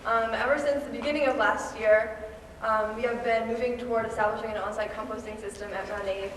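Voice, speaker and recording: A teenage girl giving a school presentation, hesitant and pausing with frequent ums. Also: fairly high pitch, steady tone, a bit bright. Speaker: student presenter. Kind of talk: presentation to a board. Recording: echoey hall from far off, faint hiss.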